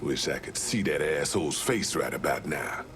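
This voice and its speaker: A man's voice: very deep voice, lively intonation, moderate pace, fairly bright tone, heavily acted. An actor voicing a streetwise, embittered Southern man in a video game, with folksy, salty talk. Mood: wry, satisfied. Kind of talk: video game cutscene acting.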